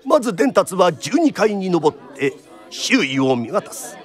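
A man's voice: high voice, big pitch swings, a little slow, soft background music, some chatter behind. A man narrating a radio drama as a theatrical storyteller with polite phrasing.

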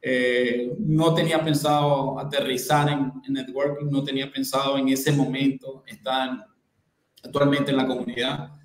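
A man in his thirties speaks earnestly as a guest on a video call, in a mid-pitched voice. He often restarts and repeats himself as he goes. The room around him is noticeably echoey. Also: little pitch movement, moderate pace. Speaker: guest network specialist. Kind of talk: casual video-call interview. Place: bright indoor room.

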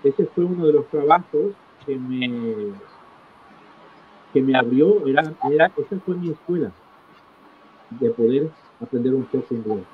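A middle-aged man recounting his life as an interview guest, in a halting manner, repeating phrases for emphasis. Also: medium pitch. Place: small indoor room, video call. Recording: tinny phone-like call with clear noise.

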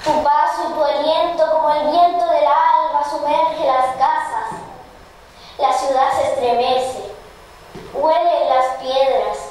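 A woman declaims poetry in a high voice, slowly and solemnly, stretching her phrases. The sound is heavily echoing and far from the microphone. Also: fairly even intonation, a little mellow. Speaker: recitation contestant. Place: large echoing room. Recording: very echoey hall, distant mic, faint noise.

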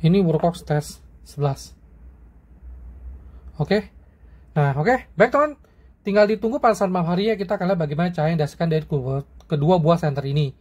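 A man's voice: on the high side, animated, brisk, pauses often, a little mellow. A young man casually reviewing and comparing flashlights, chatty and slangy, often tagging his sentences with friendly fillers. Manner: chatty, slangy, frequent "ya" and "teman-teman". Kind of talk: casual product review vlog.

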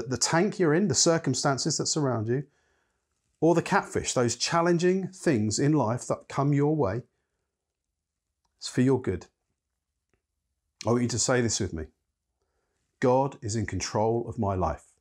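A man's voice: mid-range voice, a little mellow. A man in his fifties giving an earnest recorded sermon from a home study, leading listeners in repeated phrases. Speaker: church elder. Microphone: webcam at desk.

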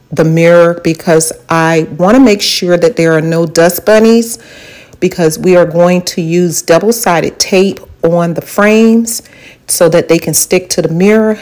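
A woman's voice: lowish voice, a little mellow. A woman, narrating her home renovation in a chatty, encouraging way and giving how-to tips. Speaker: DIY home vlogger. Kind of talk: casual voice-over narration.

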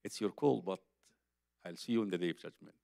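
A man's voice: low-pitched, fairly quick, gravelly, dark tone, dry recording, studio quality. An older man with an Egyptian accent and Arabic as his first language gives a religious lecture in a conversational, emphatic way, repeating phrases for his listeners. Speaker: Islamic preacher. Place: conference hall stage, seated at table. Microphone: microphone on a boom stand.

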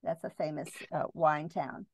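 A woman's voice: deep voice, level tone, moderate pace, vocal fry, husky, no echo, highs cut off. A woman hosting a travel podcast, interviewing a guest in a conversational way.

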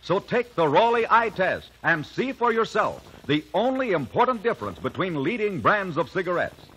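A man's voice: sits high, very animated, moderate pace, a bit bright, highs cut off, a little hiss or hum. An American man reading a scripted 1950s radio advertisement as announcer. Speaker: commercial announcer.

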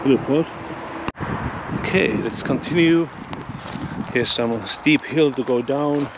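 Finnish guy exploring outside, talks slow and halting, hunting for english words, wind blasting the mic. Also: medium pitch. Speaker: urban explorer. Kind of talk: casual vlog narration while exploring. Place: outdoors at an abandoned mansion. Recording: loud wind noise on the mic.

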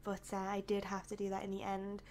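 A young woman from the UK with a croaky, tired voice, chatting casually and rambling as a YouTuber over her game build. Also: medium pitch, completely flat delivery, quick pace. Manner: rambling, chatty, with soft laughs.

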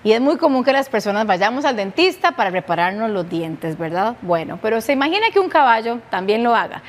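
A woman's voice: fairly high pitch, big pitch swings, brisk. A woman presenter giving a conversational scripted introduction.

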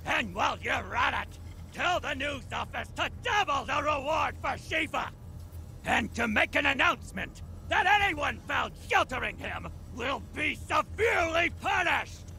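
A cartoonish game villain, an irascible army commander. The voice is very high, piercingly sharp and gravelly, barking orders with imperious menace. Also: male voice, lively intonation, a little slow, a little hiss or hum, full character voice, poor audio. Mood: furious, barking. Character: scheming, irascible military commander, a cyborg villain.

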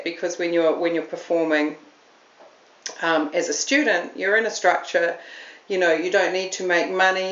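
A middle-aged woman with a New Zealand accent, hosting a podcast in a chatty, self-deprecating way and laughing often. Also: medium pitch. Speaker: podcast host. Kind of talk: casual solo talk to camera. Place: room at home. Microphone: camera on a stand. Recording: roomy, slightly dull home recording.

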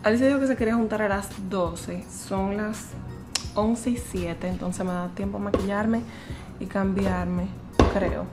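A woman's voice: mid-range voice, fairly even intonation, measured pace, over music. A young woman in her twenties chatting casually to a camera held at arm's length, slipping English phrases into her talk. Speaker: vlogger. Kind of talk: casual vlog chat. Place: bedroom, restaurant and car.

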